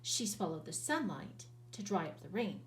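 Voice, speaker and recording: A woman teacher reading a children's picture book aloud in an expressive, sing-song storytelling voice, drawing out words. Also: fairly low pitch, moderate pace, echoey room, distant mic, slight background noise.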